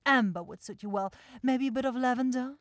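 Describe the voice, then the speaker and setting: A woman's voice: high voice, brisk, no room sound, studio quality, close to an everyday voice. A woman playing a self-assured, impatient demon shopkeeper in a fantasy ASMR audio roleplay, with a theatrical, coaxing salesy manner. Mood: smug, coaxing. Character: bossy, salesy demon-like soul shop keeper sister, impatient.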